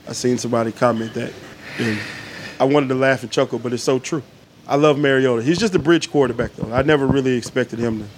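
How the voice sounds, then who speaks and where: A middle-aged man talks in a relaxed, joking way as a podcast guest, his stories loose and sprinkled with curses. His voice sits at an ordinary pitch. A faint hum lingers behind him. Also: fast. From Atlanta, Georgia. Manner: laid-back, joking, profane storytelling. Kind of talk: casual podcast chat.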